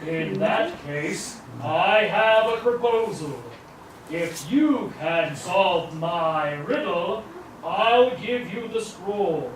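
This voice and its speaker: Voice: medium pitch, lively intonation, drawn-out words. A young performer voicing a grand magic sphinx guarding a tomb and posing riddles in a children's puppet show. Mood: sly, challenging. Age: teenage or young adult student. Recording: echoey noisy hall from far off.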